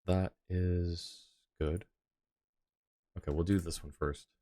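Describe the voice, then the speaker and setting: A man's voice: sits low, steady tone, takes it slowly, warm tone, dry recording, studio quality. A man casually chatting and commentating on a game livestream, thinking aloud in a rambling way. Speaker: game streamer and host. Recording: music playing behind the voice. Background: game music.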